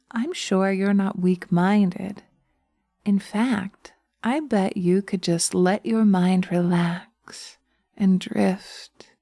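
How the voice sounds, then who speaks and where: A woman's voice: medium pitch, creaky, dark tone, dry recording, studio quality, full character voice. A woman voicing a sweet, seductive witch who soothes and coaxes, partly in whispers, in a hypnosis roleplay audio. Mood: soothing. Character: sweet, coaxing, seductive witch, gently teasing.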